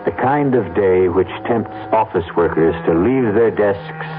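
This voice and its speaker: A man with an American accent giving wry, measured scripted narration for an old-time radio drama. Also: fairly low pitch, over music. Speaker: narrator and storyteller. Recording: tinny, noisy old radio recording.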